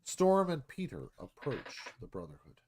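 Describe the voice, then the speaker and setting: A man reads stage directions as dramatic narration. His voice is mid-pitched and moves up and down expressively, in the scripted style of a screenplay reading. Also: huge pitch swings, unhurried, dark tone, a little hiss or hum.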